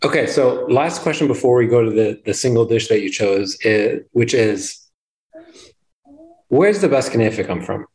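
A man's voice: medium pitch, lively intonation, unhurried, darkish tone, low-quality recording. A man with a Lebanese accent hosting a casual interview, friendly, with short affirmations as he steers the questions. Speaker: interview host.

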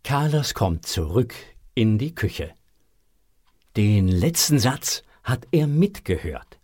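Unhurried male children's story narrator. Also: mid-range voice, sing-song, a little mellow.

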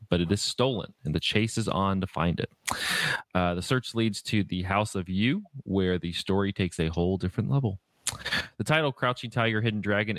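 A man with a fairly low voice hosts a film podcast, reading from prepared notes. His delivery is halting, with stumbles as he goes. The sound is dry, with hardly any room on it. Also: dry recording. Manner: halting, stumbles over words while reading. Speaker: podcast host.

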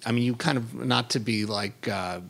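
A man's voice: mid-range voice, moderate pace, fairly bright tone. A middle-aged man interviewing a guest, asking curious, prompting questions in a casual conversation. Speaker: interviewer, cookbook co-author.

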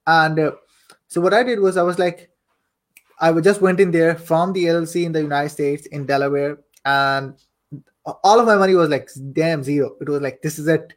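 A man's voice: a little high, steady tone, normal speed. A young man telling his story casually as an interview guest, chatty and repeating phrases for emphasis. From Saudi Arabia, later Pakistan. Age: young adult. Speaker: podcast guest, affiliate marketer and coach.

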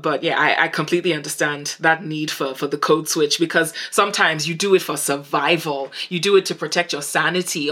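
A woman with a Nigerian accent telling a personal story in an animated way during a casual panel discussion. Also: low-pitched.

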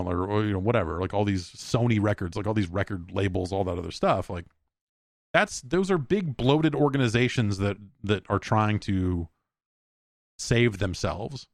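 An American man hosting a podcast alone in a casual, rambling, halting way, thinking aloud and speaking bluntly. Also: fairly low pitch, animated, dry recording, studio quality. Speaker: podcast host. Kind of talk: casual solo podcast talk, reading listener emails.

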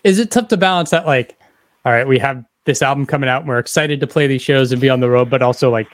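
A man's voice: mid-range voice, fast, dry recording, clean studio sound. A man hosting a podcast, speaking in a casual, conversational style. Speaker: podcast host. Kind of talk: casual interviewing and outro read.

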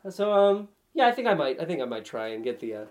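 A man's voice: a little high, sing-song, fast talker. Wry man chatting casually about games. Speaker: podcast guest.

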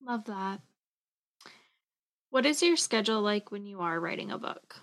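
A woman's voice: mid-range voice, lively intonation, a little slow, a bit bright. A woman interviewer putting short, prepared questions to a guest.

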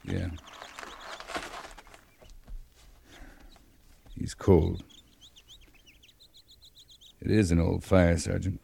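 An actor playing a weary, authoritative cavalry captain in a 1950s radio western, with a clipped, commanding delivery. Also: male voice, deep voice, little pitch movement, takes it slowly, creaky, warm tone, slightly rough, full character voice. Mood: grim, measured. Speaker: radio drama lead actor. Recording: noisy old radio recording.

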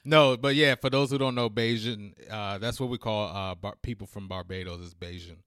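A man's voice: fairly low pitch, normal speed, a bit bright, clear, resonant tone, no room sound, studio quality. A man co-hosting a casual talk show, who is losing his voice and talks loosely with fillers and slang. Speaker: podcast co-host. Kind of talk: casual chat.

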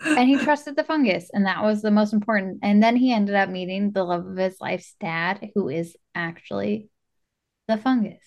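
A woman's voice: medium pitch, normal speed, gravelly. A woman co-hosting a podcast, talking casually about a film in a conversational way. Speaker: podcast co-host. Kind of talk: casual movie discussion.

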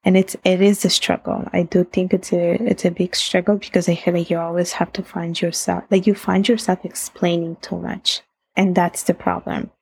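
A woman's voice: on the low side, flat delivery, moderate pace, gravelly, darkish tone, husky, rough recording. A young woman with an Italian accent, reflective in a casual interview, laughing often and restarting sentences. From Italy. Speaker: guest.

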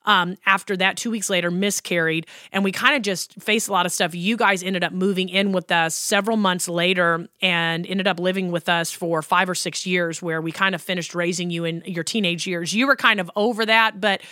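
A woman's voice: fairly low pitch, fairly even intonation, normal speed, hardly any pauses, sharp tone, no echo, studio quality. A woman in her thirties, a worship pastor hosting a podcast, chatting about her life with many ums and likes. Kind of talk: casual personal storytelling.

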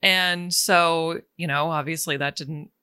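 A woman with a calming voice, a business coach chatting as a guest in an interview and laughing between thoughts. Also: low-pitched, slow, clear, resonant tone, no echo, studio quality. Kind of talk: casual interview chat.

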